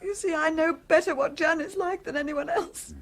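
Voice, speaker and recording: A woman playing a shrewd, knowing carer in a radio play, coaxing and deliberate with dramatic intensity. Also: very high-pitched. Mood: overwrought.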